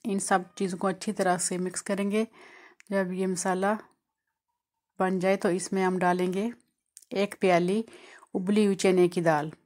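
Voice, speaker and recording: A woman from Pakistan hosting a home cooking channel, calmly walking through each step of a recipe in a voice-over. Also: medium pitch, measured pace, long pauses. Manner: calm, explanatory, walks through each step.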